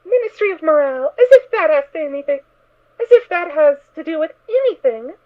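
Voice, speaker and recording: Naive pony child, tiny squeaky voice, excitable, childish, audio drama, narrow tinny recording. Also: female voice, fairly quick. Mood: playful, stubborn. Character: cheerful naive little filly, pony child in spacesuit. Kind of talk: fan audio drama character acting. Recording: thin, phone-like narrow sound.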